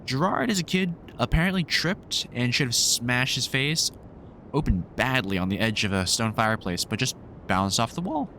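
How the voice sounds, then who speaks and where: Man playing a lively, wry vampire hunter. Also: medium pitch, normal speed, slight background noise. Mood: explaining fondly. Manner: wry, sweary, exasperated but fond banter. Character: gruff, sardonic British vampire hunter, protective, teasing mentor. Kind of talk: roleplay audio drama addressed to the listener.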